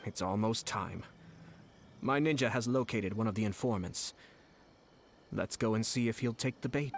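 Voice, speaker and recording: A man voicing a calm, calculating young male noble strategist in a video game, measured and composed. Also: lowish voice, slight background noise, lightly acted. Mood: scheming. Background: game music.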